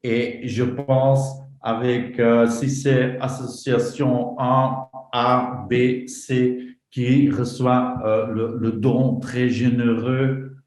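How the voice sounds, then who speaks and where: Flemish man presenting his group at a conference; slow, halting delivery in a roomy hall. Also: mid-range voice, flat delivery, a little mellow. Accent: Flemish Dutch. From northern Belgium. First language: Dutch. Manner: halting, many fillers and restarts. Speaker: association representative. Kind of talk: conference talk.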